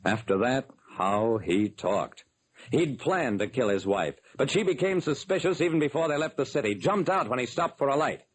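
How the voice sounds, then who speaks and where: An actor playing a hard-boiled detective who recounts a case in terse, dramatic old-time radio narration. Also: male voice, medium pitch, lively intonation, normal speed, slightly rough. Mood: grim, matter-of-fact. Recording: vintage radio broadcast recording.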